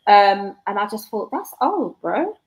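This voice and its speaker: Woman, forties, chatty guest, laughs a lot, mid pitch. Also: sing-song, low-quality recording. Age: 40s. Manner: chatty, laughs often, quick asides. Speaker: guest author. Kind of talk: casual interview chat.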